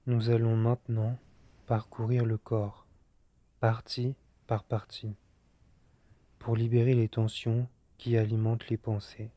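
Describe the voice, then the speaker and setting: Man, meditation guide, low-pitched, even intonation, soft soothing delivery, long pauses. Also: no echo, lo-fi sound. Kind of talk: guided yoga nidra relaxation.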